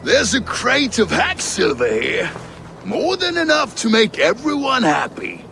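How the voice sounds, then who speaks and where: Seasoned game Viking: gravelly, swooping intonation, dry and shrewd, music underneath. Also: male voice, very high-pitched, wildly swooping pitch, a little slow, sharp tone, exaggerated voice. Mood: matter-of-fact. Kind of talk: video game dramatic acting.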